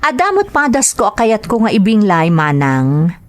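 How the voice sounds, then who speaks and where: A woman with an Ilocano accent hosting a drama show, reading greetings and a listener's letter. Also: medium pitch. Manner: slow, expressive reading. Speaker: drama host and narrator.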